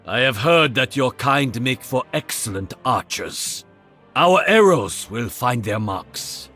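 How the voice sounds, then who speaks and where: An actor playing a proud, stern master archer in a video game, with a Japanese accent and a formal, haughty delivery. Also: male voice, medium pitch, very animated, takes it slowly, sharp tone, soft background music, heavily acted. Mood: solemn, resolute. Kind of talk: video game character voice lines.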